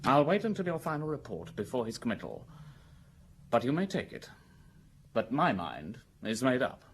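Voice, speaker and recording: A man's voice: medium pitch, wildly swooping pitch, moderate pace, slight background noise. A man playing a pompous, impatient middle-aged upper-class official in an audio drama, haughty and insistent in delivery. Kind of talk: radio drama acting.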